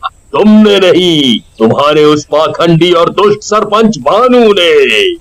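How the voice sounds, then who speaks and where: Man as stern tantrik sage, cartoon horror story, ominous, very slow, drawn-out words. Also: fairly high pitch, sing-song, heavily acted, rough recording. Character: stern laughing old male tantrik holy man. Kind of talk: animated horror story voice acting.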